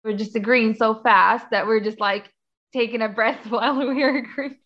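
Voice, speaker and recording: A woman's voice: a little high, steady tone, normal speed, lo-fi sound. A young woman from Finland, a native Finnish speaker, chatting casually in an interview. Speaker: interviewee, university student.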